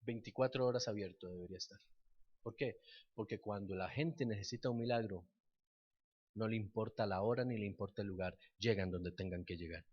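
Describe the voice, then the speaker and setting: A man preaching a fervent sermon that turns into a declared prayer, repeating words as he builds to loud peaks. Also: on the low side, brisk, husky, muffled recording. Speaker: pastor.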